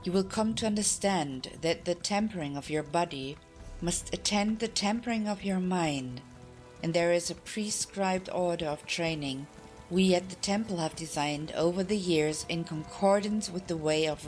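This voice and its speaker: A woman voicing an aged, wrinkled temple master who tells a pupil about the past in formal, measured phrases, in an audiobook. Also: lowish voice, a little mellow, faint music behind, lightly acted. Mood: reminiscing, instructive. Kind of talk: audiobook character reading.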